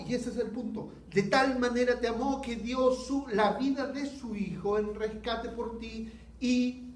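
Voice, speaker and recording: A Chilean man preaching a sermon, earnest and unhurried, asking his listeners rhetorical questions. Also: sits high. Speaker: pastor. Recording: echoey hall.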